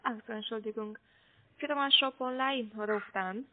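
A woman's voice: on the high side, a little slow, dry recording. A woman call center agent making a sales phone call in German as a non-native speaker. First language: not German. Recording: muffled narrow phone line.

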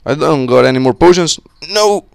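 A young man with a slightly sick voice, giving casual, excitable commentary on a co-op game with friends on a close mic. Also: on the high side, moderate pace, vocal fry, clear, resonant tone, no echo. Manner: excitable, swearing, joking with friends. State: sick, voice a little off. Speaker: gameplay commentator. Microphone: close-up desk mic.

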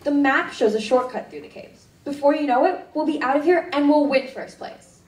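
A woman's voice: high voice, normal speed, a little mellow, lightly acted. A teenage girl playing a bossy, overconfident young group leader, self-assured, in a school radio drama on an echoey stage. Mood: cocksure. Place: indoor theatre stage. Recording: echoey stage hall, distant microphone.

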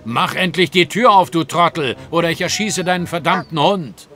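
An actor playing a confident, sardonic gentleman detective who instructs and teases dryly in an audio drama. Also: on the high side, normal speed, soft background music. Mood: impatient, angry. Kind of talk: German audio drama acting.

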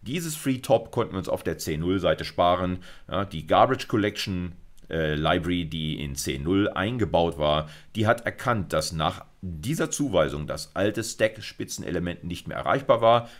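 Man, middle-aged, deep pitch, animated intonation, lecturer, informal emphatic explaining. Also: normal speed. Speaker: university computer science lecturer. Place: indoors, recorded screencast.

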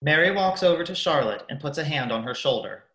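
A man's voice: fairly high pitch, expressive, brisk, pushed and bright. Young man narrating in an echoey room. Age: young adult. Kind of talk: scripted narration, reading stage directions.

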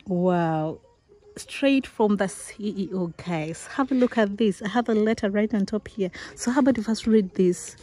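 A young woman from Uganda, a vlogger, unboxing outdoors in a village yard, talking with giddy excitement, laughing often and exclaiming. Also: medium pitch. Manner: giggly, breathless with excitement, frequent laughs and exclamations. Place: outdoors in a rural village yard, seated on a mat.

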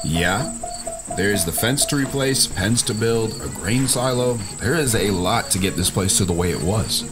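An actor playing a bossy, hard-working, no-nonsense brother in an audio drama. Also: male voice, on the low side, animated, a little slow, music in the background. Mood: brisk.

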